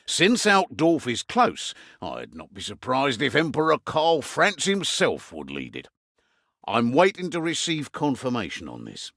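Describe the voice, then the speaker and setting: Gruff british innkeeper spymaster, middle-aged, dry asides, pitch swings about, close dry sound, video game briefing. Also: male voice, wildly swooping pitch, unhurried, a bit bright, no echo, exaggerated voice. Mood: wry determination. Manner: wry, conversational briefing with dry asides and trailing afterthoughts. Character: gruff middle-aged male innkeeper turned resistance spymaster, wry and weary.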